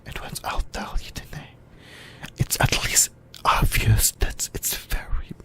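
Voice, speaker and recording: Slow, breathy whispering ASMR voice. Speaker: YouTuber, ASMR host. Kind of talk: whispered ASMR explainer on anime lore.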